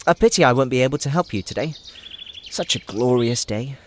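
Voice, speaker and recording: A man voicing a genial older gentleman hobbit, courteous, musing and whimsical, in an audio drama. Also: mid-range voice, expressive, fairly quick, a little hiss or hum, natural voice. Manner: courteous, musing, hesitant openings. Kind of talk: audio drama character acting.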